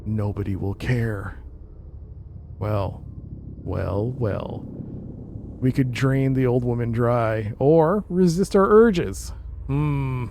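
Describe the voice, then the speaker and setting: A man's voice: mid-range voice, lively intonation, slow, gravelly, pauses often, noisy background. A man streaming a game, reading its narration aloud and adding casual commentary.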